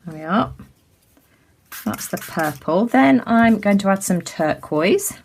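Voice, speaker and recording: Chatty British woman, slightly croaky. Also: mid-range voice, lively intonation, slow, a little hiss or hum. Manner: chatty, many ums, self-correcting as she works. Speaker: craft tutor. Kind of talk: casual craft tutorial narration. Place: indoors at a craft table.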